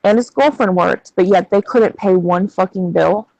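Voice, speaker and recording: A woman's voice: medium pitch, normal speed, gravelly, a little mellow, no echo, poor audio. A woman in her thirties in casual chat, venting bluntly with plenty of swearing. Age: 30s.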